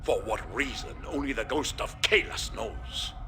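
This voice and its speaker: A blustering alien warrior colonel in a sci-fi game, with a very sharp, forceful voice. He is theatrical and sardonic, spitting scorn at his juniors over background music. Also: mid-range voice, a little slow, gravelly. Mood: scornful bluster. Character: blustering, scornful Klingon colonel commanding a warship. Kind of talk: video game cutscene acting.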